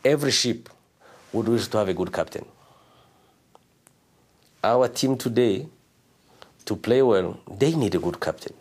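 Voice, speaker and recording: A man's voice: lowish voice, lively intonation, measured pace, pauses often, a little mellow, breathy edge. A middle-aged man answering interview questions emphatically in an indoor room.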